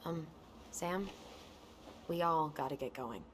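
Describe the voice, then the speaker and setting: Low-voiced, practical young woman. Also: slow pace, a little hiss or hum. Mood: gently prodding. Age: young adult. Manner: casual, practical. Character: brisk, friendly, teasing young woman. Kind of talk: naturalistic video game acting.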